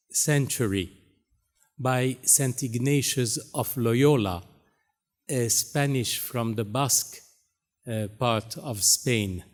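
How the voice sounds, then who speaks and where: Slow, halting Arabic-speaking priest. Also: male voice, mid-range voice, a little mellow. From Syria, raised in Lebanon. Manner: halting, many pauses and fillers. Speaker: Jesuit priest, keynote speaker. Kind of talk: keynote speech. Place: university hall stage.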